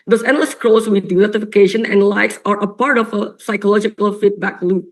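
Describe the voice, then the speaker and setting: A young woman delivers a competitive debate speech, her voice raspy from a coughing fit. She argues earnestly and emphatically, circling back and repeating phrases for stress. Her pitch sits in an ordinary middle range. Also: steady tone, fairly quick. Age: young adult. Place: online or stage debate venue.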